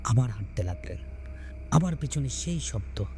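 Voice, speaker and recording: Guy reading a ghost story, dramatic pauses, pitch moves around a lot, spooky music behind him. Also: very animated, mellow. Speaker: storyteller and channel host. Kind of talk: scripted horror story narration. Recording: background music under the voice. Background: scary music.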